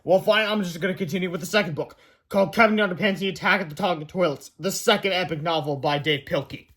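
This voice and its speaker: Male children's book reader, notably high-pitched, expressive storyteller with emphatic delivery. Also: quick pace. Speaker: read-aloud reader. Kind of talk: children's book read-aloud narration.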